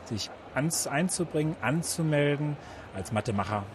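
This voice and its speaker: A man's voice: a little high, animated, normal speed. A man answering interview questions as a guest, explaining things with examples. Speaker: interview guest, science organisation director. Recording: noisy event ambience with traffic.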